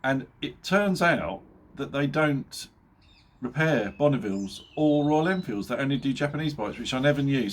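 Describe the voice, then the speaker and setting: A middle-aged man with a blocked-up nose from a cold, chatting casually to his viewers, joking and chuckling at himself with plenty of ums along the way. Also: mid-range voice. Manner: chatty, jokey, laughs at his own jokes, many fillers. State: nasal from a cold. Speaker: motorbike vlogger. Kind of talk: casual chat to camera.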